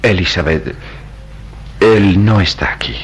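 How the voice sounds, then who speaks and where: An actor playing a grave, compassionate priest in a radio drama, speaking in solemn, oratorical phrases. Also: male voice, low voice, slow pace, a little hiss or hum. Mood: gentle, grave. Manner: solemn, oratorical, emphatic delivery. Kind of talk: melodramatic Latin American radio drama acting.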